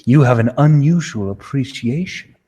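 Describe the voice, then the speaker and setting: A man's voice: medium pitch, dark tone, husky, lightly acted. A man acting a boastful, lustful king of winged Hawkmen in an amateur radio serial, grandiose and menacing. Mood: smug, leering. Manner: grandiose, slow, menacing delivery.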